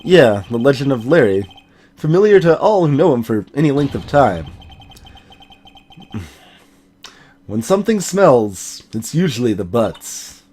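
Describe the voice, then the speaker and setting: A let's play host voicing a level-headed young man, a defense lawyer with a measured, wry manner. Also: mid-range voice, very animated, darkish tone, breathy edge, voice pushed down.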